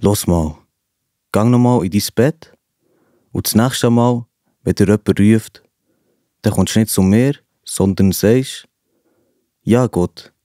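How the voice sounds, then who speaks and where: A man's voice: on the low side, slow pace, pauses often, dark tone, no room sound, studio quality. A man playing a patient, fatherly old priest in a children's Bible audio play. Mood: kindly, instructive. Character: old priest Eli, patient, drowsy mentor.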